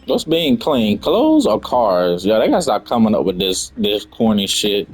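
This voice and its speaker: A man's voice: lowish voice, very animated, normal speed, faint music behind. A man giving casual, blunt and sarcastic reaction commentary as he streams a game. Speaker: gaming streamer.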